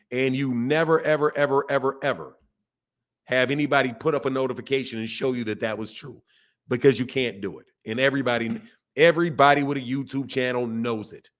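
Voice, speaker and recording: A man hosting a casual boxing livestream and talking to his chat. His voice is hoarse from yelling, and he is emphatic, repeats points and often calls listeners bro or man. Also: medium pitch. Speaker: boxing channel host.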